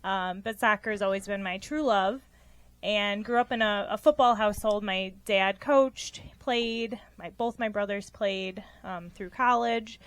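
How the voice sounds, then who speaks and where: A woman's voice: mid-range voice, a little slow. A young woman from Rochester, New York, a physical therapist guest giving earnest interview answers with frequent ums.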